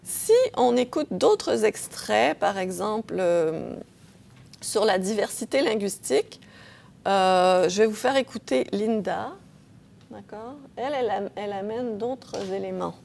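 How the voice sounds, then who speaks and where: A woman's voice: medium pitch, unhurried, vocal fry, a little mellow. A woman professor giving an academic lecture, explaining patiently with frequent hesitations and checks of her audience. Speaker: linguistics professor.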